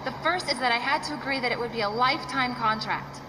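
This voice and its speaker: A young female wrestling valet lays down the law in a video game, voiced by a woman. Her voice is high and firm. It sounds distant, with loud game noise underneath. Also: fast, natural voice.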